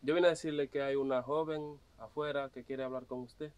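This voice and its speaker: A man's voice: mid-range voice, steady tone, a little fast, clean tone, no echo, close to an everyday voice. A young man acting as an obedient servant at a farm gate, who talks in a teasing, mocking way. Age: young adult. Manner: teasing, chuckling. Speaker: actor. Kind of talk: web drama acting.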